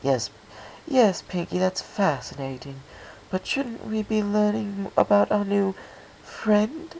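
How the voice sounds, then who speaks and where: Man putting on a flirty refined lady pony, high-pitched. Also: slow, a little mellow, a little hiss or hum, full character voice, low-quality recording. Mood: impatient, curious. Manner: breathy, airy, purring, affected endearments. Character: flirtatious, refined female pony, gushing and affected. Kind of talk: storybook character voice in fan fiction reading.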